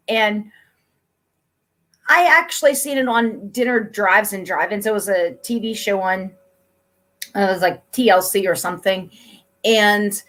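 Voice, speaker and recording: A middle-aged woman from Pennsylvania, hosting a casual chat interview in a chatty, upbeat way with frequent laughter. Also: fairly high pitch. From Pennsylvania, USA. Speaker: host.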